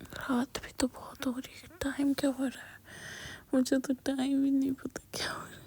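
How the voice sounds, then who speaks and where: A woman whispering an intimate girlfriend roleplay, speaking softly and directly to the listener up close to the mic. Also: high-pitched, monotone, normal speed, vocal fry.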